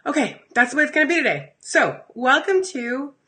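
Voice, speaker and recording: A woman in her thirties talking casually on a live stream, her voice a little raspy and congested from a recent illness, addressing her viewers directly. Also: high voice, very animated, fairly quick, sharp tone. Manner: chatty and upbeat, addresses viewers directly with "you guys" and "okay?". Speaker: essential oils educator streaming live. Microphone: phone or webcam for live stream.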